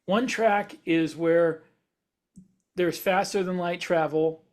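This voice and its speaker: A man in a casual podcast discussion, speaking as an opinionated co-host, halting at times and repeating words for emphasis. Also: high-pitched, steady tone, a little mellow.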